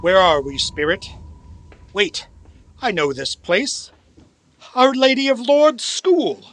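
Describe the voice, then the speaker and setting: A man's voice: high voice, sing-song, slow, a bit bright, heavily acted. A man playing a cranky, fearful old miser in a theatrical, muttering radio play style. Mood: wistful, amazed. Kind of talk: stage radio play acting.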